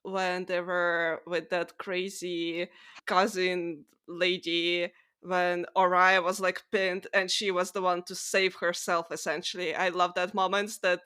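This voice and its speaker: A woman's voice: lowish voice, little pitch movement, a little slow, bright, forceful tone, smooth. A woman co-hosting a podcast, chatting casually about books in an informal, sweary way full of "like". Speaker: podcast co-host. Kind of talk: casual book discussion chat.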